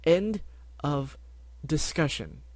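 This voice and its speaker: A woman's voice: deep voice, expressive, brisk, darkish tone, dry recording, voice pushed up. A man voicing a bossy, studious purple unicorn mare who speaks firmly and commands. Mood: stern. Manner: firm and commanding.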